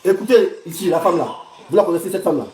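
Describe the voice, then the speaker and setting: An Ivorian man with a fairly high voice talks off the cuff on a livestream. He rambles, repeats himself and is bluntly crude. The sound is roomy and a little far from the mic. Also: lively intonation, fast talker, a little mellow, faint music behind. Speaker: livestream host. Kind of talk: informal livestream political commentary. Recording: echoey room, distant from the mic.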